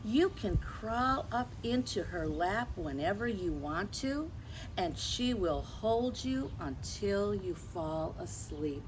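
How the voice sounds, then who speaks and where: Older woman, children's storyteller, unhurried pace, gentle expressive delivery, clear ambient noise behind her. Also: medium pitch. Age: 60s or older. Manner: gentle, expressive, addressing children with tag questions. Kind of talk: picture book read-aloud to children with gentle asides.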